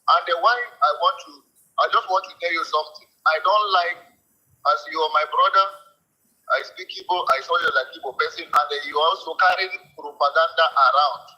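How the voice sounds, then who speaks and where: A man's voice: a little high, normal speed, pushed and bright, dull audio. A man calling in to a talk show, lecturing and accusing, repeating himself for emphasis. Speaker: caller. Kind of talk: heated call-in argument.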